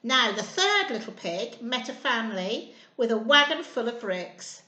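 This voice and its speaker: A woman's voice: a little high, very animated, unhurried, pushed and bright. A woman hosting children's storytime, talking simply and encouragingly to small children in a sing-song way. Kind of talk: children's storytime reading and chat. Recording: roomy, echoey indoor sound.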